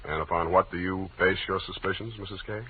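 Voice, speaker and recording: An actor playing a wry, hard-boiled private detective with an offhand, halting delivery in 1940s radio style. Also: male voice, low-pitched, steady tone, natural voice. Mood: sardonic. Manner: wry, offhand, punctuated with uhs. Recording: narrow-band, noisy 1940s radio recording.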